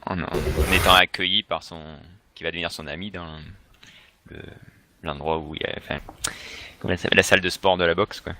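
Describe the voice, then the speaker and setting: Very bright, lowish Frenchman, halting. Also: steady tone, slight background noise. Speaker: podcast panelist. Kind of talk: casual group discussion.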